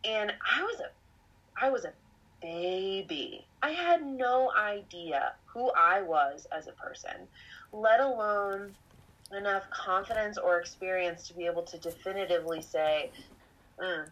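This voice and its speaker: A woman's voice: medium pitch, lively intonation, measured pace, a bit bright, rough recording. A woman of twenty-eight chatting on a podcast, rambling and self-reflective, laughing now and then. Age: 20s. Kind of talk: casual conversational storytelling.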